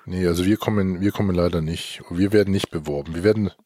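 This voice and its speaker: A man's voice: low-pitched, fast, warm tone, no room sound, studio quality. A man co-hosting a podcast in casual chat, informal and dropping in English phrases. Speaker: podcast co-host and reviewer.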